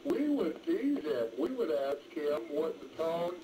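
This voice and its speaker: A man's voice: a little high, very animated, measured pace. Folksy man on noisy ham radio. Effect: two-way radio transmission. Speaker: amateur radio operator. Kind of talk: casual ham radio chat. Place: mobile, in a pickup truck.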